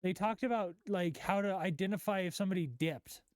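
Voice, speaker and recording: An American woman chats as a podcast co-host in an average-pitched voice. She is blunt and casual, with slang and frequent swearing. The recording is dry and close. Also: fairly quick, a little mellow, no room sound. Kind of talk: casual chat.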